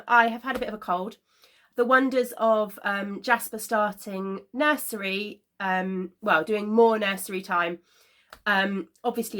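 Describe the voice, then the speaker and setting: A woman in her thirties hosting a knitting podcast, chatting casually to camera from her sofa at home, slightly congested from a cold and filling pauses with frequent ums. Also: mid-range voice, fairly bright tone. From Scotland. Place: living room at home, seated on a sofa.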